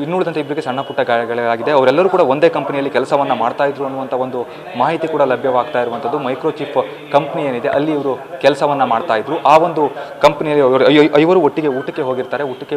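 A young man reporting live from a hospital entrance into a handheld microphone, with wordy, repetitive phrasing. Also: medium pitch. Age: young adult. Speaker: field reporter. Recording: clearly noisy live location feed.

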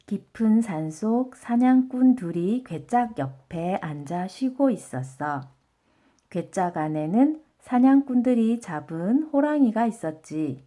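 Animated woman reading a bedtime story. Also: mid-range voice, slow. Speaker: storybook reader. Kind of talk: bedtime storybook narration for children.

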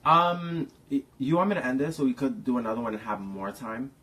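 A young man in his twenties, chatting excitably and dramatically on a home livestream. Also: medium pitch, a little fast. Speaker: livestream co-host. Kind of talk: casual livestream chat. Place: living room at home.

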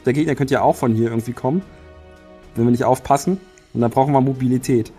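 Quick-talking guy, tabletop player, plain tactics talk, faint music. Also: mid-range voice, fairly even intonation, warm tone, breathy edge. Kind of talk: strategy discussion in a tabletop game.

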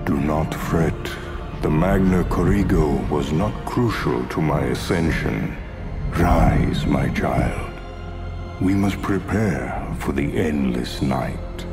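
A man playing an ancient, menacing dark lord in a video game, commanding and ominous. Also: takes it slowly, music in the background, exaggerated voice. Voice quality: very deep, gravelly. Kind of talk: dramatic video game villain acting.

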